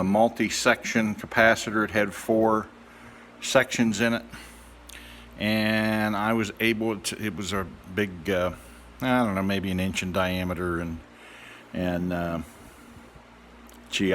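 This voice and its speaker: Guy with a low voice doing a casual hobby demo, kinda halting, lots of pauses, bit of background noise. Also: a bit bright. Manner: halting, hesitant, with trailing pauses. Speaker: hobbyist radio restorer. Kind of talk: casual unscripted demonstration.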